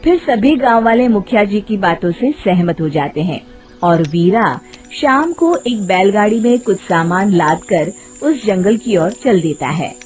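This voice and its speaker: A woman's voice: mid-range voice, lively intonation, measured pace, a little mellow, soft background music. A woman narrating a scripted moral folk tale as a storyteller.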